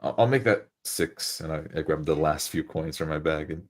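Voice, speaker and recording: Deep-voiced male tabletop player. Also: normal speed. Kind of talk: casual in-character roleplay.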